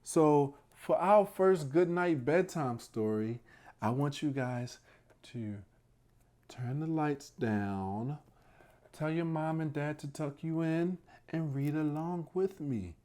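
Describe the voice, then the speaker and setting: A man hosting a bedtime read-aloud for young children, speaking in a hushed, gentle way and talking directly to his little listeners. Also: fairly high pitch, slow. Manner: addresses young listeners directly with questions and praise.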